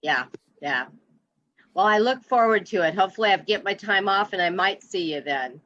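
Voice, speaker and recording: A woman's voice: mid-range voice, expressive, normal speed, a bit bright. A woman in the audience of an online event, chatting casually over a video call. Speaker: audience member. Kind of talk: casual chat. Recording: dull video-call sound.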